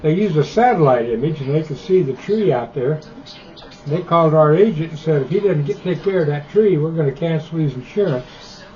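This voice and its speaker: An older man talks in an easygoing, conversational way. His voice is mellow and sits a touch high for a man. The sound is dull and muffled, as if it comes over radio. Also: expressive, a little fast, slight background noise. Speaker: ham radio operator. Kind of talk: casual radio chat.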